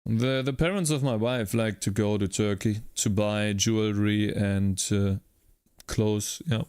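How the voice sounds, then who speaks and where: A man's voice: lowish voice, fairly even intonation, a little slow, a little mellow, clean tone, no echo, clean studio sound. A man streaming his coding work, talking through his steps and greeting chat viewers by name. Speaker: livestreaming game developer.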